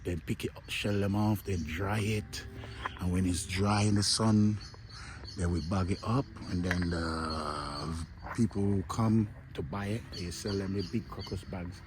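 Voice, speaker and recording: A man's voice: deep voice, unhurried, noisy background. A man with a Jamaican accent, chatting casually to his viewers as a travel vlogger while walking through a hotel garden, now and then dropping into a murmur. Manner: chatty, addresses viewers as "my people", often drops to a murmur.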